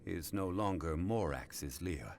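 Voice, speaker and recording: A man playing a composed, stern scholar in a fantasy video game, speaking formally and with measured solemnity. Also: deep voice, animated, gravelly, darkish tone, a little hiss or hum, full character voice. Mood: composed, firm.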